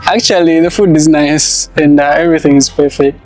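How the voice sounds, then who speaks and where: Young adult male, Zambian accent, higher register, casual student interviewee, light music underneath. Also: fairly even intonation, a little fast, a little mellow, low-quality recording.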